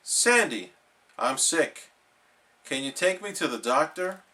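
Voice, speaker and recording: Man putting on an excitable, high-pitched cartoon sea sponge. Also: takes it slowly, exaggerated voice. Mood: anxious pleading.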